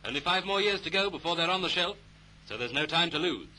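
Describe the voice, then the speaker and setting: High-pitched British 1930s newsreel man. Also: lively intonation, fast talker, pushed and bright, rough recording. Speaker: newsreel narrator. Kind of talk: 1930s British newsreel commentary, scripted narration. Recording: vintage 1930s newsreel soundtrack. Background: music.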